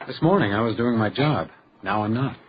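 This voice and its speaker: Actor as a dry house detective, muffled recording. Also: male voice, fairly low pitch, animated, a little fast, a little hiss or hum, natural voice. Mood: guarded, matter-of-fact. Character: dry, guarded male hotel house detective in 1925. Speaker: lead character and first-person narrator. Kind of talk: detective radio drama acting.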